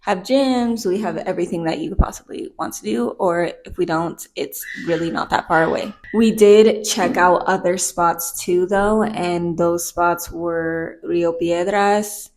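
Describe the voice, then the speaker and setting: A woman's voice: fairly low pitch, a little slow, hardly any pauses. A young woman from the United States talking candidly in a casual personal vlog, chatty and laughing easily. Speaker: vlogger.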